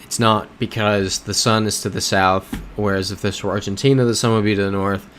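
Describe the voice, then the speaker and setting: A man's voice: lowish voice, fairly even intonation, brisk, a bit bright, slight background noise. An American man streaming a map-guessing game, reading viewers' messages aloud and talking through his guesses. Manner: reads chat messages aloud and reasons through map clues. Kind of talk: casual live-stream chat.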